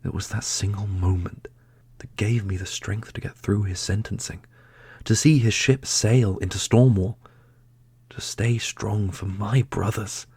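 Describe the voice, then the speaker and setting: A man playing a solemn, loyal prince and protective brother in a fantasy audio roleplay, speaking earnestly and deliberately. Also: on the low side, steady tone, darkish tone, husky, no echo, exaggerated voice. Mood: solemn, tender. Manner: earnest, deliberate, grandly heroic. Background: orchestral music.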